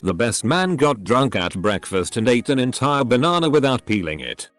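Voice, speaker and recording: A man's voice: medium pitch, sing-song, normal speed, a bit bright, no echo, soft background music. A man reading out online stories as a scripted narration.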